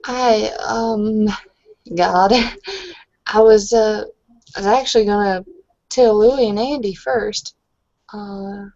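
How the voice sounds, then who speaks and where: A woman acting a shy, easily embarrassed woman in a tabletop game. She speaks haltingly and hesitantly, in a dry, slightly dull lo-fi recording. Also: medium pitch, a little mellow, dry recording. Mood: sad, hesitant. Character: shy, embarrassed woman saying goodbye to friends.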